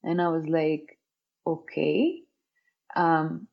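Low-voiced woman with dull lo-fi audio. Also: level tone, drawn-out words, creaky. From Lebanon. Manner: rambling, many fillers and restarts. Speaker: interview guest, dancer. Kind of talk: casual interview storytelling.

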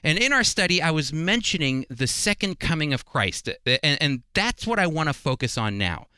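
A man's voice: a little high, big pitch swings, normal speed, bright, forceful tone, clean tone, dry recording, clean studio sound. A man hosting a religious radio program, teaching the Bible in a conversational, earnest, explanatory way with emphasis.

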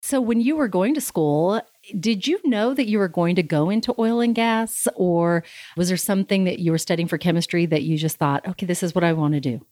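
A woman's voice: fairly low pitch, fairly quick, mellow, dry recording, studio quality. A woman hosting a podcast from her office, upbeat and effusive, moving from a scripted intro into casual interview chat. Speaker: podcast host.

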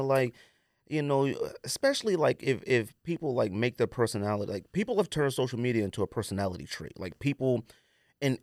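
A man with a mid-pitched voice that moves up and down a lot as he talks. He sounds like a podcast co-host giving opinions in loose, slangy chat, leaning on repeated phrases for emphasis. The recording is dry and close. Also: dry recording.

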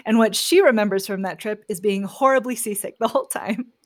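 A woman guest on a podcast, casually discussing a book and retelling scenes in an animated way. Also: medium pitch, brisk.

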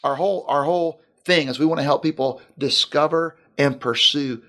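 A man's voice: a little high, normal speed, a bit bright. Earnest man, pastor in conversation. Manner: earnest, piles up long lists. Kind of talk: casual podcast discussion.

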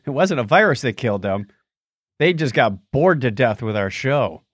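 Playful, animated male podcast co-host. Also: mid-range voice, normal speed, breathy edge, dry recording, studio quality. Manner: joking, playful. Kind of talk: casual comedic banter.

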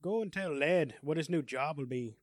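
A man voicing a mysterious male crime boss in an audio story, in a measured, commanding manner. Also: fairly high pitch, dry recording, clean studio sound, close to an everyday voice. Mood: cool command.

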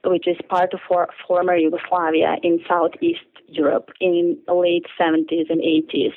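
A woman's voice: lowish voice, flat delivery, normal speed. Woman on a tinny phone line. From Serbia. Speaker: listener reading her email. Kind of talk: reading a letter aloud.

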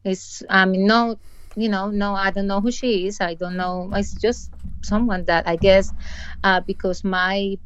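A woman's voice: mid-range voice, steady tone, a little slow, clean tone, dry recording, dull audio. Colombian-accented woman telling a story. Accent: Colombian Spanish. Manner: storytelling with many restarts and hesitations. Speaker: podcast host, dental practice owner. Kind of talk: casual chat.

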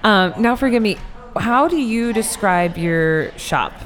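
A woman's voice: medium pitch, animated, measured pace, soft background music. A woman interviewer, chatty and a bit rambling, who often adds likes and laughs at herself. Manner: chatty, rambling, self-deprecating, lots of likes. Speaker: podcast interviewer. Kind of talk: casual interview.

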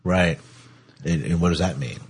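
Deep, husky male podcast host. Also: moderate pace. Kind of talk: casual discussion of TV science fiction.

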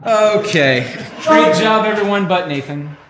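A man's voice: high voice, animated, unhurried, very noisy. A man hosting a live comedy variety show, bantering in an exasperated way. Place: live show inside a comic book shop. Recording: roomy live venue with music.

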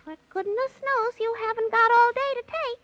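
A woman playing a small, excitable, bossy magical creature who talks in singsong rhyme in a 1930s children's radio serial. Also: normal speed. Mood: bossy, hurried. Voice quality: squeaky. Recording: noisy, muffled 1930s radio recording.

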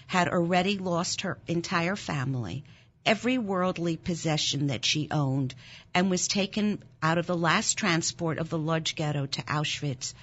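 A German-born woman in her sixties, a nonprofit founder, telling a deeply personal story in an interview in an earnest, intense way, her voice rising sharply when moved. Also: normal speed, fairly bright tone, slightly rough, dull audio. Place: Philadelphia.

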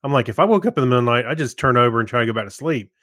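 Male co-host, mid-pitched, casual and meandering, searches for words, dry recording. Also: animated, very fast, fairly bright tone, no echo. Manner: hesitant, rambling storytelling. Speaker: podcast co-host. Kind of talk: casual chat.

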